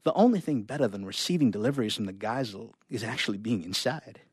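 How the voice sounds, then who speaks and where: A man performing a live reading of short stories, with expressive delivery and casual asides. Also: fairly low pitch, fast, breathy edge, no echo, clean studio sound.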